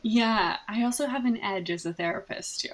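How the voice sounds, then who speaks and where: A soft-spoken woman therapist from Canada, speaking thoughtfully in a podcast interview and laughing often. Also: fairly high pitch, animated, measured pace, a crowd in the background. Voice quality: soft voice with gravelly fry.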